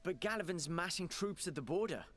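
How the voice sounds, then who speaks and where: A man's voice: high voice, animated, brisk, fairly bright tone. A man playing an inquisitive, skeptical adventurer in a fantasy game, pointed and dryly witty. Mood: serious, alert. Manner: questioning, dry, pointed. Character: skeptical, inquisitive male adventurer with dry wit.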